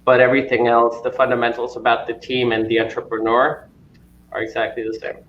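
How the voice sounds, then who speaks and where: A man's voice: mid-range voice, completely flat delivery, brisk, a bit bright, a little hiss or hum. A man speaking as a growth investor on a panel, hesitant with many fillers. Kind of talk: panel discussion. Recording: dull, echoey room sound.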